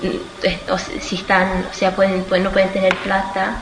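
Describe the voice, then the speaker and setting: An eighteen-year-old woman from Australia answers interview questions informally, haltingly searching for words and correcting herself. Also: medium pitch, monotone. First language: Spanish. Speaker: student being interviewed. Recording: echoey room with clear background noise.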